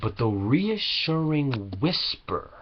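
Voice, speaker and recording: A man reciting slam poetry with earnest, deliberate, dramatic phrasing, on a low-quality home recording. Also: sing-song, highs cut off, slight background noise. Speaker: slam poet.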